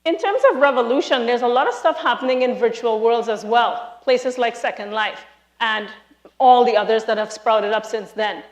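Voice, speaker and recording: A woman from Trinidad with a Trinidadian accent, a lecturer giving a lively, emphatic live talk to an audience in a large hall. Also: a little high, moderate pace, muffled recording. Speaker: speaker, university lecturer.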